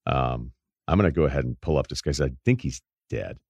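A man with a deep voice hosting a podcast, telling rambling stories in casual chat and laughing at his own anecdotes. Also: animated, quick pace, creaky, a little mellow, husky, no room sound, muffled recording. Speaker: podcast host.

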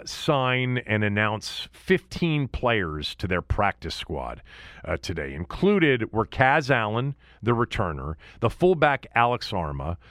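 Low-voiced man, dry close-miked sports talk. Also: a bit bright, dry recording. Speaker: sports podcast host. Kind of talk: casual sports commentary monologue.

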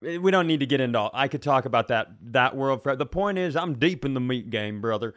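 American man, podcast co-host, average pitch, casual comic storytelling, rambling, self-deprecating. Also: lively intonation, fast, fairly bright tone.

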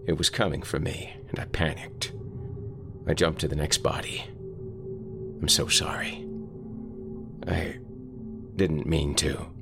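A man voicing a panicked, hunted man who speaks in a hushed, whispery way. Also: rumbling low, level tone, slow, vocal fry, pauses often, music in the background, exaggerated voice. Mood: remorseful.

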